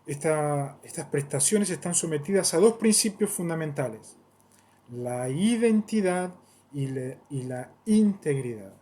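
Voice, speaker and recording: A man's voice: fairly high pitch, expressive, unhurried, warm tone, husky. A man giving a long, rambling monologue in a solemn, declaiming way, repeating phrases for emphasis. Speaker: self-styled theologian.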